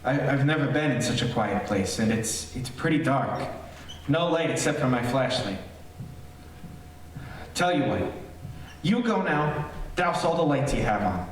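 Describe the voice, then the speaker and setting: A man playing a nervous radio reporter in a haunted house, halting and confiding in his listeners, in old-time radio horror style. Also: mid-range voice, animated, lightly acted. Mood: hushed, uneasy. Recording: echoey distant stage recording, faint noise.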